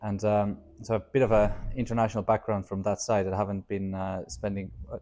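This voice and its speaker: A man's voice: lowish voice, level tone, a little fast, darkish tone. A man, giving reflective interview answers in a hesitant, thoughtful way. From Estonia. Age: 30s. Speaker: interview guest, startup founder.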